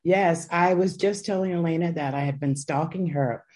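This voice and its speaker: Older woman podcast host, chatty and enthusiastic, with a very deep voice. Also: moderate pace, a little mellow. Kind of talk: casual podcast interview.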